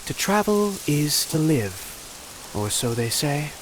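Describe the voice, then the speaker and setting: Game companion: reserved, formal, unhurried, clear hissing rain behind the voice. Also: male voice, on the high side, sing-song. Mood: pensive. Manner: formal, measured. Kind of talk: video game voice acting.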